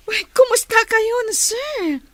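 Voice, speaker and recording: A woman's voice: very high-pitched, no echo, full character voice. A woman acting in a radio drama as a young, curious, talkative friend who is easily surprised.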